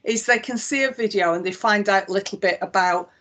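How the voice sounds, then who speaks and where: A woman's voice: mid-range voice, fairly quick, fairly bright tone. A woman with a Lancashire accent, a chief executive giving a live walkthrough of a website and explaining each click in a conversational way with frequent ums. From Northwest England. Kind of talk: live software demonstration.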